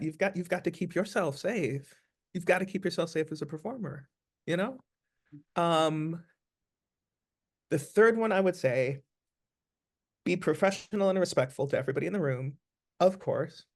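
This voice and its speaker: A man's voice: on the high side, a little mellow. A man speaking as an interview guest, giving advice conversationally in an earnest, emphatic way, repeating phrases for stress.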